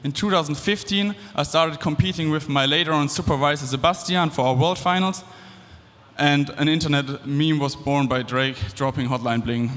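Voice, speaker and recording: A young man with a German accent speaks to a hall full of students in a casual, upbeat way, with loose fillers and restarts. His voice sits a little high for a man. Long reverb from the big hall trails behind each phrase. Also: steady tone, normal speed, fairly bright tone. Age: 20s. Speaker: guest speaker. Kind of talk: stage talk to students. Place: large hall.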